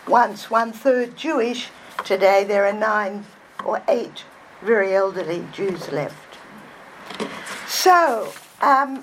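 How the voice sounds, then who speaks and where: A woman, giving a measured, scholarly lecture to an audience as an author. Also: fairly high pitch, slight background noise, rough recording. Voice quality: soft voice. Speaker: guest speaker, author. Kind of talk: public lecture. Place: hall before an audience.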